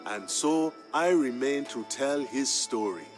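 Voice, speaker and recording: An actor playing a proud royal griot, narrating a strategy game's campaign story in a grand, measured and emphatic manner. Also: male voice, mid-range voice, animated. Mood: reverent, calm. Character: proud male griot, a king's humble family historian, solemn storyteller. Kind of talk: dramatic scripted narration for a strategy game campaign. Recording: music behind the voice. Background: background music.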